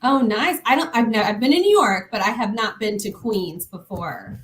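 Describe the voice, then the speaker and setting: A woman's voice: a little high, lively intonation, moderate pace, a little hiss or hum. Laughing woman guest from Iowa. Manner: friendly, laughs often. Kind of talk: casual interview.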